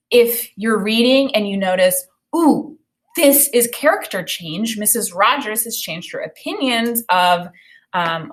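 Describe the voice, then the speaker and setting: Female teacher, American, thirties, mid-pitched voice, expressive story reading mixed with think-aloud explanation. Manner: expressive reading with acted dialogue. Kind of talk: read-aloud lesson with think-aloud commentary.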